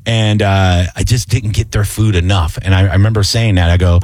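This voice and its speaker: A man's voice: deep voice, steady tone, fairly quick, a little mellow, a little hiss or hum. A man from Tampa hosting a casual radio show, talking in a loose, joking way with plenty of fillers and restarts. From Tampa, Florida. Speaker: radio host. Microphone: studio microphone.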